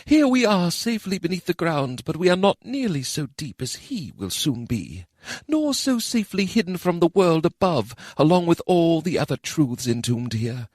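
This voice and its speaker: Brief: male narrator playing an aged elf keeper woman, grave and measured, raised well above his own pitch. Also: big pitch swings, no echo, clean studio sound. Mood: sorrowful. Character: solemn older female elven keeper.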